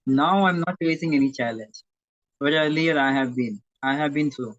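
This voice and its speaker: A man who teaches at a primary school, speaking as an interview guest in a halting way and often correcting himself mid-sentence. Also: a little high, fairly bright tone, no echo. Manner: halting, self-correcting, searching for words. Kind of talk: interview conversation. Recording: dull, dry lo-fi audio.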